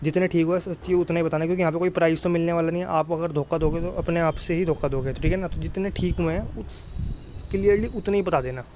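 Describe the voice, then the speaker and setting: A man's voice: a little high, quick pace, dark tone. A man giving informal exam coaching, often checking in with listeners in a familiar, brotherly way. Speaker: exam prep teacher. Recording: clear background noise.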